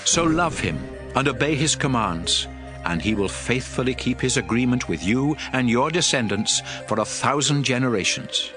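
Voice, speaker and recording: A man's voice: mid-range voice, lively intonation, moderate pace, natural voice. An actor playing a stern, fervent prophet who addresses his people in a solemn, emphatic, declamatory dramatized scripture reading. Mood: fervent, exalting. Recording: music under the voice.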